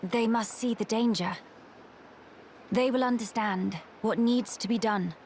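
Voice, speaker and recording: A woman's voice: a little high, measured pace, darkish tone, a little hiss or hum. A woman playing a young, determined noble leader in a video game cutscene, speaking formally and resolutely. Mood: earnest, determined.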